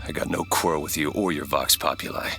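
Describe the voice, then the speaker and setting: A man playing a gruff, hard-bitten mercenary in a video game, blunt and wry. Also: rumbling low, steady tone, fast talker, exaggerated voice. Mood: guarded, blunt. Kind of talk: dramatic video game acting. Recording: loud music behind. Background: loud music.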